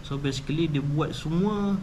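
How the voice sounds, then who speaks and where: A man's voice: fairly high pitch, moderate pace, dark tone. A man with a Malaysian accent giving an informal, conversational explainer as a channel host, mixing in English and adding little tag questions. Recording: clearly noisy background ambience.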